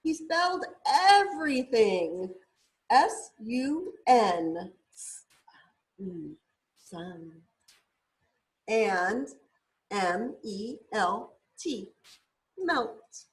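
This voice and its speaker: A woman reading a storybook aloud to young children in a deliberate, teacherly way, sounding words out carefully. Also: a little high, very animated. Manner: deliberate, spells words out letter by letter.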